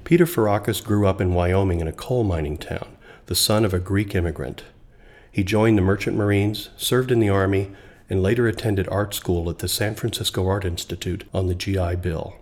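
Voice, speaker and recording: A man reading scripted documentary narration in an even, informative way. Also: low-pitched, moderate pace.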